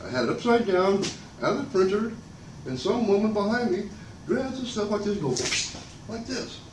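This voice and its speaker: An older man speaking loudly and abrasively in a rambling public comment at a meeting. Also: expressive, moderate pace. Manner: loud and booming, abrasive, rambling and aggrieved. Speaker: member of the public giving comment. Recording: echoey room from far off, noisy.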